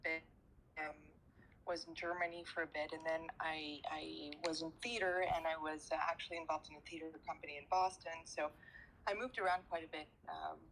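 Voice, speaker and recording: A woman's voice: sits low, fairly even intonation, a little fast, fairly bright tone, a little hiss or hum, rough recording. A woman guest from France answering interview questions, hesitating often with many fillers and repeated words.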